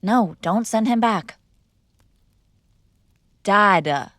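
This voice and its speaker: A woman's voice: medium pitch, drawn-out words, fairly bright tone, no room sound, studio quality. A young woman performing an audio roleplay as a defiant, sarcastic mob boss's daughter arguing with her father. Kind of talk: scripted ASMR girlfriend roleplay.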